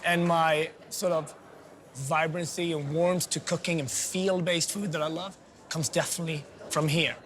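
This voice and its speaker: A man, a chef, talking reflectively in a relaxed interview with loose phrasing. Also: a little high, moderate pace, over music. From Sweden. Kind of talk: casual interview talk.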